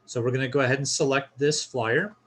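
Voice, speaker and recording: Male tutorial presenter, medium pitch, casual and instructional, guiding viewers through an on-screen walkthrough. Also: steady tone, a little fast, a bit bright, a little hiss or hum. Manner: informal, explanatory, step-by-step.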